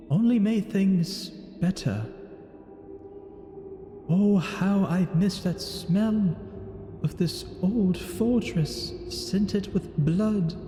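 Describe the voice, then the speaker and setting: A man's voice: high voice, mellow, heavily acted. A man voicing a lonely, brooding thousand-year-old vampire who narrates his tale in solemn, formal, theatrical phrasing. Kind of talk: scripted in-character story narration over gameplay. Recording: echoing hall-like reverb, music behind. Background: music.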